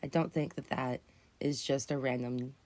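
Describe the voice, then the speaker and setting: A woman in her twenties, raspy and just woken up, rambling earnestly and correcting herself as she hosts a casual live chat. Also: rumbling low, steady tone, moderate pace, a little mellow. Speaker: livestream host.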